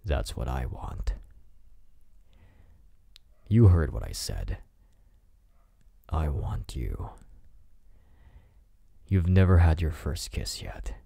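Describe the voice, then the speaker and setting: A man's voice: rumbling low, big pitch swings, vocal fry, warm tone, husky, no room sound, heavily acted. Guy playing a cocky flirty delinquent, asmr roleplay, teasing, really swoopy intonation, dry close mic. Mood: low, seductive. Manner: teasing, smug, drawn-out delivery. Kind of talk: intimate ASMR roleplay acting. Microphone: close microphone in a dry space.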